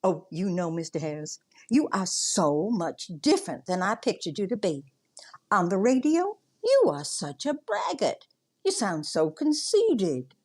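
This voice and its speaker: Slow-drawling Southern salesgirl. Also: medium pitch, big pitch swings, a little mellow. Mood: gushing, flirty. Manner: gushing, coy. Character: sweet, flirty, gushing Southern salesgirl. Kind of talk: 1940s radio comedy acting.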